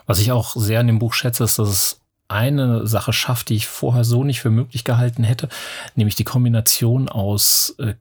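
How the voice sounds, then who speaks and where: A man's voice: mid-range voice, fairly even intonation, normal speed, breathy edge. A man in his forties talking through a tabletop game book in a casual panel discussion, stopping now and then to search for words. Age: 40s. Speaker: podcast panelist.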